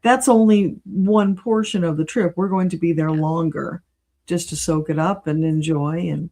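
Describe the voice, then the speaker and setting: A woman talks as an interview guest in an easygoing, conversational way. Her voice sits a little low for a woman and has a very soft, mellow tone. She sounds like someone chatting informally. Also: fairly even intonation, moderate pace. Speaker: guest, spiritual channeler and reader.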